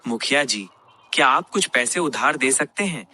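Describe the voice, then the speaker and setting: A man's voice: mid-range voice, lively intonation, normal speed, sharp tone. A man playing a humble, earnest poor village blacksmith in a moral cartoon story. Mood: pleading.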